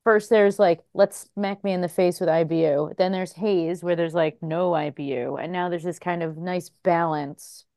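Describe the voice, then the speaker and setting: A woman hosting a casual podcast chat, chatty and quick to laugh, asking follow-up questions. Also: lowish voice, steady tone, a little mellow, no echo, studio quality. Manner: chatty, laughs easily, asks follow-ups. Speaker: podcast host.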